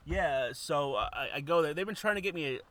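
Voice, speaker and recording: A man's voice: on the high side, expressive, a little fast, fairly bright tone. A man co-hosting a podcast, rambling and swearing casually as he laughs easily. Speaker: podcast co-host.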